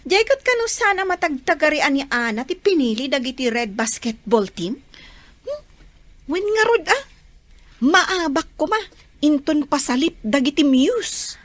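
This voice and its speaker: A woman's voice: high voice, big pitch swings, unhurried. A woman playing a cheeky, money-minded young daughter in a radio drama, with an Ilocano accent. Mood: gossipy.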